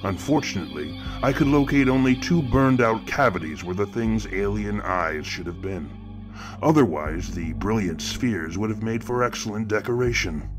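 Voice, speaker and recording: A man playing a grandiose, menacing killer who sees murder as art, narrating a horror audio drama in a florid, pompous, deliberate way. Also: fairly low pitch, lively intonation, natural voice. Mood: sinister relish. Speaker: narrator of a horror fiction podcast. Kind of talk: scripted first-person horror narration, theatrical. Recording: narration over prominent music bed. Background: music.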